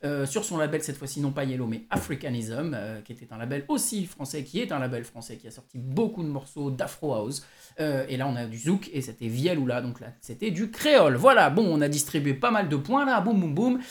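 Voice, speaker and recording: A man's voice: fairly high pitch, expressive, brisk. A man hosting a music quiz as a DJ, chatting casually and playfully, rambling and correcting himself as he goes. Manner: chatty, rambling, many restarts and self-corrections; playful, jokey asides. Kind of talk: casual live music show chat.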